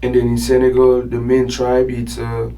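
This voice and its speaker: A man, chatting casually as a podcast guest in a relaxed, chuckling way. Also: medium pitch, normal speed, far from the mic, low-quality recording. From Burkina Faso. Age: 20s. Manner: laid-back, chuckling, loose filler-heavy talk. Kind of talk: casual interview chat. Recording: voice a bit off-mic with faint room noise.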